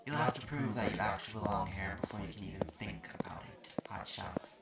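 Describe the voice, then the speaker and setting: Man acting a haughty, gravelly male student guide. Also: on the low side, brisk, roomy sound. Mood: condescending, curt. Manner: condescending, curt, explanatory. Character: haughty, mildly mean male magic-university student guide. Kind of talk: audio roleplay acting addressed to the listener. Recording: noisy recording with clicks.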